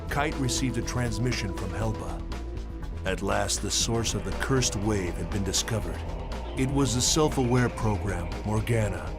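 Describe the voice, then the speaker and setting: An actor as a brooding, low-voiced male narrator. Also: normal speed, natural voice. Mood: solemn. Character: brooding male researcher recounting past events. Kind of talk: scripted dramatic narration. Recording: music behind the voice.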